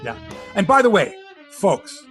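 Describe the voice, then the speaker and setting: A man's voice: sits high, very animated, measured pace, a bit bright, soft background music. A middle-aged Canadian man hosting a sports podcast, opinionated and sarcastic in casual talk. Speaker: podcast host.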